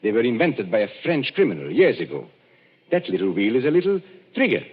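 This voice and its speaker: An actor playing a shrewd gentleman detective, unmasking a killer in 1940s radio-drama style, measured and commanding. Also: medium pitch, huge pitch swings, slightly rough. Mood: calm, triumphant. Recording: muffled 1940s radio broadcast.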